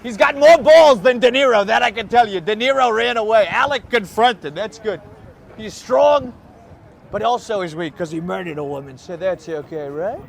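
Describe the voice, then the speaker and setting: A very high, creaky voice of a brash older politician. He taunts and wheedles, repeating his phrases like a street heckler. It is recorded outdoors in the city. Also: moderate pace. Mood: cajoling. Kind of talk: street prank heckling in character.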